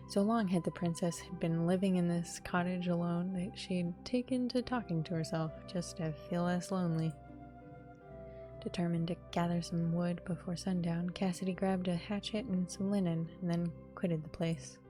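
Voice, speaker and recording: A woman streaming a visual novel, moving between casual remarks and reading narration aloud. Her voice sits a little low and her manner is informal and expressive. Soft game music runs underneath.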